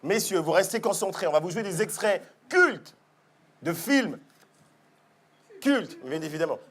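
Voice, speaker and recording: A man hosting a comedy TV quiz segment, loud and joking, often laughing. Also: high-pitched, lively intonation, a little slow. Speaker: game presenter. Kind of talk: comedy TV game show hosting. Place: TV studio with audience.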